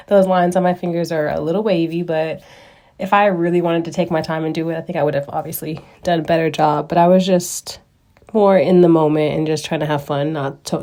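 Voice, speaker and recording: A young woman with a raspy voice from a cold, narrating her vlog in an easygoing, meandering way and poking fun at her own mistakes. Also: fairly low pitch, mellow. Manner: easygoing, meandering and self-deprecating. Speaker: vlogger.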